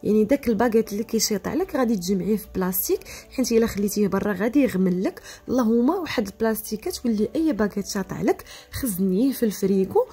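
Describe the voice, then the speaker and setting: A woman's voice: mid-range voice, expressive, very fast, dark tone, husky. A young woman with a Moroccan Arabic accent hosting a cooking tutorial, chatty and practical, talking straight to viewers. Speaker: cooking channel host.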